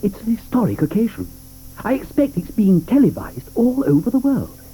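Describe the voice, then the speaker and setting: An actor playing a whimsical, confident chocolatier inventor who talks in a playful sing-song and spouts gibberish. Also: male voice, high voice, big pitch swings, moderate pace, mellow, husky, heavily acted, rough recording. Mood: cheerful. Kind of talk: 1980s studio radio play acting.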